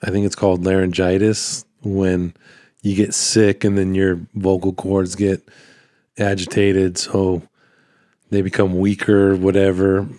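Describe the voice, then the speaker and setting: A man hosting a casual solo podcast, rambling and halting, with a slightly hoarse voice that cuts in and out as he recovers from being sick. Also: deep voice, monotone, mellow, dry recording, studio quality. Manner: rambling, halting, repeats phrases. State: hoarse, voice cutting in and out after illness. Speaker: podcast host, small business owner.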